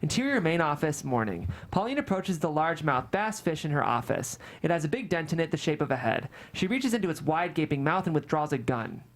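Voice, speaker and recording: A woman's voice: low-pitched, very animated, brisk, hardly any pauses. A woman reading screenplay stage directions and scene headings as narrator at a live table read.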